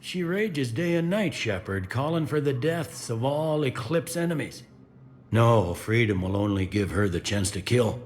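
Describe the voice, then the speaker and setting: An actor playing a gruff police commander in a sci-fi game, blunt and wry. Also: male voice, mid-range voice, very animated, unhurried. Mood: grim. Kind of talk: video game dialogue acting. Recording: faint background noise and ambience.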